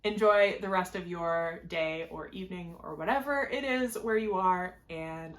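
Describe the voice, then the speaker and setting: A young woman hosting a knitting video podcast, chatting casually with lots of fillers, her voice a little hoarse. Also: lowish voice, a little slow. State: slightly hoarse, losing her voice. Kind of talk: casual chat to camera. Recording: echoey room.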